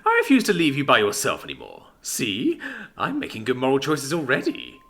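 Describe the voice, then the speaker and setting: A man voicing Max, a brash, impulsive young man with an axe who talks loud and eager. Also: medium pitch, huge pitch swings, fairly quick. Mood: pushy. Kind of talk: audiobook character voice.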